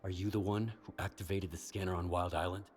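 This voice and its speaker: An actor playing a hardened mercenary hero in a video game, speaking in a terse, stern way. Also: male voice, low-pitched, fairly even intonation, fast talker, slight background noise, lightly acted.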